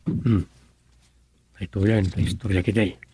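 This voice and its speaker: A man's voice: deep voice, steady tone, measured pace, mellow, a little hiss or hum. A man playing an inquisitive, cautious villager in a radio drama, plain-spoken and with an Ilocano accent. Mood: musing.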